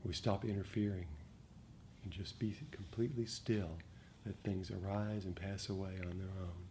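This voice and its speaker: A man giving a dharma talk as a meditation teacher, speaking reflectively and gently, with frequent pauses. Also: low voice, steady tone. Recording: noticeable background noise.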